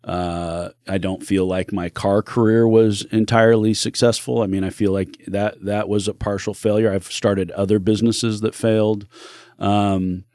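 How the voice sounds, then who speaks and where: A man's voice: fairly low pitch, flat delivery, normal speed, darkish tone, no room sound, clean studio sound. A middle-aged man, a podcast guest, telling his life story in an earnest, reflective way. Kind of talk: candid personal storytelling.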